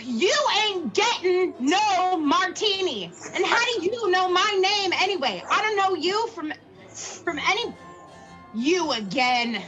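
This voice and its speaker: A woman acts a hard-nosed bartender in a radio drama, with a high, sharp voice. The delivery is brusque, slangy and sneering, over faint music. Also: big pitch swings, takes it slowly, highs cut off, full character voice. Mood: angry, barking. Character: tough, hostile, suspicious bartender named Nick. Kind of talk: radio play acting.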